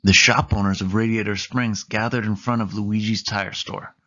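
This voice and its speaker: A dull, muffled recording carries a man reading a children's picture book aloud. His voice sits on the low side, in a storytime narration style. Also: steady tone, normal speed, bright, forceful tone. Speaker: storybook reader.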